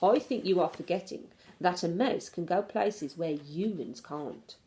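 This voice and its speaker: A woman's voice: on the low side, unhurried, dark tone, natural voice. A woman voicing a plucky, eager young boy who has become a mouse, earnest and determined, in a storybook reading.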